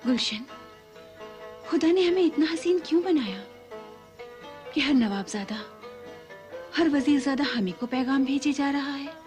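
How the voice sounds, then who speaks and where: High-voiced headstrong young noblewoman. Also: measured pace, pauses often, darkish tone, faint music behind. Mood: dreamy, confiding. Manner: dramatic, courtly. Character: proud, headstrong young noblewoman, romantic dreamer. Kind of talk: formal Urdu-flavoured period serial acting.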